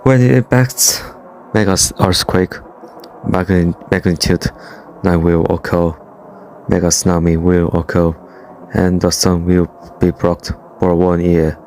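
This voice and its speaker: A man's voice: sits low, steady tone, a little slow, a little mellow, slight background noise. A man reading a scripted emergency broadcast announcement in a formal, solemn manner.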